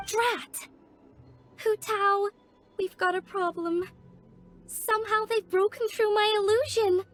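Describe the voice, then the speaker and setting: A young woman illusionist from a video game, with a strikingly high voice. She sounds earnest, encouraging and protective of those around her. Soft music runs underneath. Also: steady tone, slow, exaggerated voice. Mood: concerned. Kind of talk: scripted video game voice acting.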